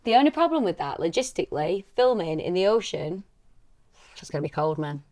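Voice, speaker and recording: A woman's voice: fairly low pitch, very animated, brisk, mellow, no room sound. A young woman, an actress, interviewing a colleague in casual, easygoing podcast chat.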